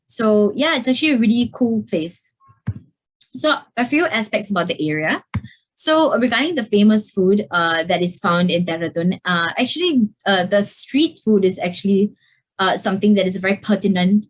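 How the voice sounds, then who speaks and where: A woman's voice: mid-range voice, expressive, normal speed. Young woman presenting hesitantly. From Singapore. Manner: hesitant, many fillers like "uh" and "like". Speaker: student presenter. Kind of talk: presentation over slides. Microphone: video call.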